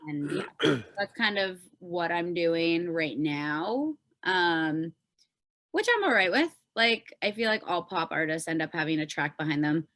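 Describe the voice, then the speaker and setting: A woman's voice: on the low side, expressive, a little slow, a bit bright, no echo. A Canadian woman chatting casually and informally as a podcast guest, peppering her talk with "like". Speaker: podcast guest, pop singer. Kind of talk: casual chat.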